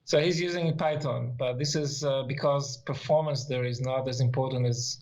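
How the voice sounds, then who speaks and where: A man's voice: medium pitch, steady tone, normal speed, a little mellow. A middle-aged man from Israel whose first language is Hebrew, explaining things casually and a bit hesitantly as an interview guest. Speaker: interview guest, software developer.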